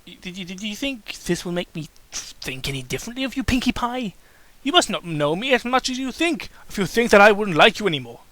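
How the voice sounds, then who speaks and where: Man acting earnest, devoted male lover, high voice. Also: very animated, fairly quick, pushed and bright, no echo, heavily acted. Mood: affectionate. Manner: earnest, reassuring. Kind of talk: dramatic reading of fan fiction.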